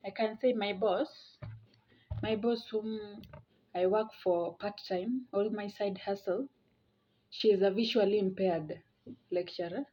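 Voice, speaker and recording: A woman explains things informally to her viewers. She speaks slowly and haltingly, often repeating a phrase to stress it. Her medium-pitched voice comes through a slightly muffled, lo-fi recording. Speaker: video presenter. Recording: dull lo-fi audio.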